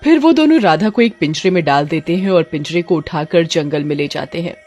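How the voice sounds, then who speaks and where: A woman's voice: fairly low pitch, expressive, fairly quick, a little mellow, faint music behind. A woman giving scripted story narration for a cartoon.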